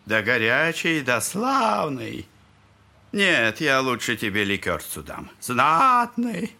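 A man's voice: mid-range voice, very animated, slow, creaky, bright, forceful tone, exaggerated voice. An actor playing a tipsy, suspicious old father in a Russian audio drama, repeating himself as he wheedles and frets. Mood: wheedling, tipsy. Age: older. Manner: repeats himself, swings between wheedling and shrieking.

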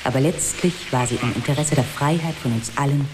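An actress playing a solemn female head of state giving a measured, declamatory political address in a radio satire. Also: rumbling low, music in the background. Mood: solemn, stern.

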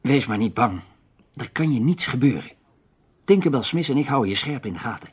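An actor in a radio drama playing a shrewd, protective adventurer who speaks emphatically and deliberately, with a husky voice. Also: male voice, mid-range voice, lively intonation. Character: shrewd, protective male adventurer, awkward with women.

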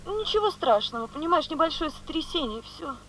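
An actress playing a gentle wife and mother on the telephone, soft, tender and reassuring, in a 1970s Soviet radio play. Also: high voice, animated, fast, a little hiss or hum, rough recording. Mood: soothing, reassuring.

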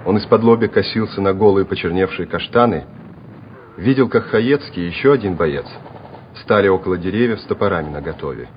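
Male narrator, lowish voice, measured literary delivery; muffled old radio recording. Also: slight background noise. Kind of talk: scripted dramatic narration.

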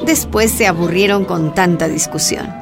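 Radio drama narrator over music. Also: female voice, fairly low pitch, normal speed, gravelly. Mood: narrative. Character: female storyteller recounting events plainly. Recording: music bed behind narration.

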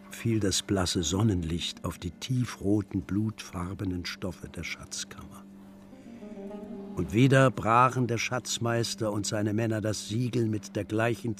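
An actor playing a solemn, devout old master miniaturist in a radio drama, measured and at times sinking to a near whisper. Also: male voice, fairly low pitch, natural voice. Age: older. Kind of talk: literary radio drama, first-person narration and dialogue.

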